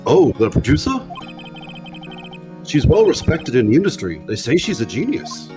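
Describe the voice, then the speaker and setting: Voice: medium pitch, sing-song, moderate pace, natural voice. A streamer playing a timid, sad male murder suspect in an amateur live game dub, speaking hesitantly. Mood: explanatory. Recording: music playing behind the voice.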